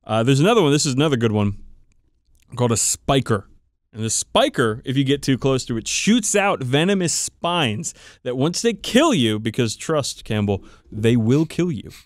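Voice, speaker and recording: A man's voice: medium pitch, very animated, normal speed, no echo, studio quality. A man co-hosting a podcast, chatting casually and enthusiastically about tabletop game lore with plenty of swearing. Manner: profane, enthusiastic, informal. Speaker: podcast co-host.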